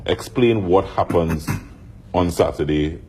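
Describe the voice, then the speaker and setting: A man hosting a live sports TV show, prompting his guests with brisk questions. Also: deep voice, mellow, a little hiss or hum, poor audio. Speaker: sports TV host. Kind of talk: live TV interview.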